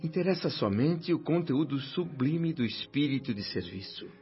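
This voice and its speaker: An actor playing a humble, earnest former doctor in a radio drama, speaking formally and solemnly. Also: male voice, medium pitch, lively intonation, normal speed, lightly acted. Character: humble, earnest former doctor, newly arrived spirit, narrating his afterlife. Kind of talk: radio drama acting and first-person narration. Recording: dull lo-fi radio drama with music.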